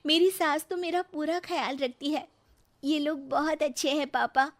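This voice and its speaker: A young married daughter from a cartoon morality tale, played by a woman, with a high voice. She is dutiful and self-respecting, earnest and placating in the way she talks. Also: steady tone, moderate pace, close to an everyday voice. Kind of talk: Hindi cartoon moral story acting.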